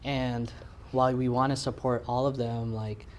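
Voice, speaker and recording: Young male guest, earnest and halting, everyday mid-range voice. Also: mid-range voice, level tone. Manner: earnest, halting with fillers and restarts. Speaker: community organization guest. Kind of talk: casual interview chat.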